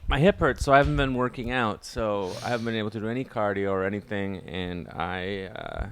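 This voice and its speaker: A man's voice: fairly low pitch, fairly quick, a little hiss or hum. A man co-hosting a podcast, chatting casually in a wry, terse way. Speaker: podcast co-host and touring comedian. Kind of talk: casual chat.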